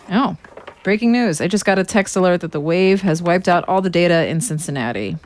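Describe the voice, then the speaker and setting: A woman's voice: lowish voice, lively intonation, normal speed, pauses often, darkish tone, a little hiss or hum, natural voice. An American woman in her thirties playing a sardonic woman narrating a confessional audio diary, dryly sarcastic and casually profane. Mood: alarmed. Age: 30s.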